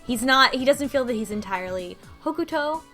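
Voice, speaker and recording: A woman co-hosting a casual podcast, recapping a video game in an animated, amused way. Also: sits high, a little fast, soft background music. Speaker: podcast co-host.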